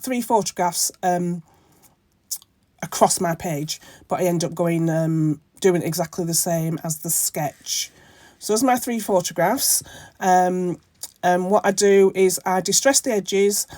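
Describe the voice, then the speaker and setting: A woman with a croaky voice from a bad cold, hosting her own crafting channel and talking through a project in a rambling way, with many ums and self-corrections. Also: medium pitch, darkish tone, no room sound. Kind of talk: casual crafting tutorial narration.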